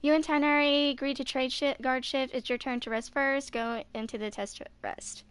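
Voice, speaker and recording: A woman's voice: sits high, fairly even intonation, moderate pace, a bit bright, slight background noise. A woman in her twenties casually reading game dialogue aloud and commenting as she plays. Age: 20s. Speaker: gaming video maker.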